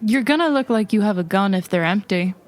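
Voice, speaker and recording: Woman acting a dry, teasing agency colleague, mid-pitched. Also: animated, brisk, natural voice. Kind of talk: conversational comedic audio drama dialogue.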